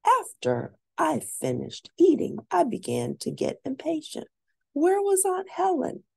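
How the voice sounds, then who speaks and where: A woman reading a children's book aloud as an expressive storyteller, stressing and stretching words for drama. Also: sits high, creaky. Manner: animated, dramatic emphasis with drawn-out words.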